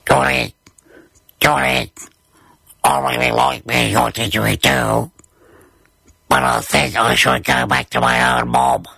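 A man voicing a little talking didgeridoo in a children's story, slow and creaky in manner. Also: mid-range voice, steady tone, bright, forceful tone, dry recording, heavily acted. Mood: wistful, timid. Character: little talking didgeridoo living in a boy's mouth.

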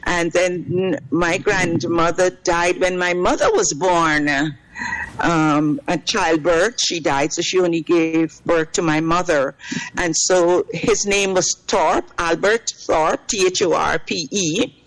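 A woman's voice: on the low side, steady tone, slow pace, low-quality recording. Rambling Guyanese woman, middle-aged. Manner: rambling, with frequent fillers and restarts. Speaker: head of a cultural delegation. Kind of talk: casual interview answers.

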